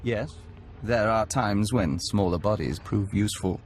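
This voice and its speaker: Male actor as calm game diplomat for an insect hive, lowish voice, formal, measured, faint background noise. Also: natural voice. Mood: calm, matter-of-fact. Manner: formal, measured, speaks of himself as we. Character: calm, polite diplomat man speaking for an insect hive mind. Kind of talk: video game voice acting.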